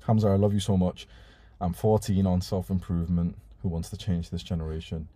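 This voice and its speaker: Low, dark-voiced young man streaming. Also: fairly even intonation, fairly quick, no room sound. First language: Urdu. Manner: laid-back, swears casually, reads chat messages aloud. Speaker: live stream host reading donations. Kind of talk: casual live stream chat.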